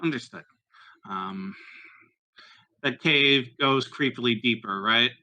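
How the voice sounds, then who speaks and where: A man playing a tabletop roleplaying game, improvising in character in a hesitant, halting way. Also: mid-range voice, bright, forceful tone.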